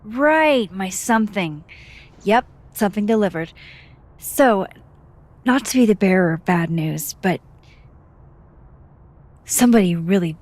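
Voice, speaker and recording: A casual, slightly awkward young woman in a video game. She speaks slowly and haltingly at a middle pitch, dryly curious. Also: expressive, darkish tone. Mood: awkward, wry. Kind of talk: naturalistic video game voice acting.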